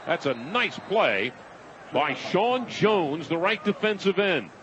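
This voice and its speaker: A man's voice: sits high, sing-song, takes it slowly, dull audio. An American man calling football play-by-play on the radio in clipped phrases that rise with the action. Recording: noisy stadium radio broadcast. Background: stadium noise.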